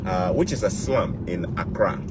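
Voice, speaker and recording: A man's voice: low-pitched, moderate pace, very noisy. A Ghanaian man giving motivational advice to camera in an emphatic way, repeating key words and phrases for weight. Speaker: YouTube vlogger.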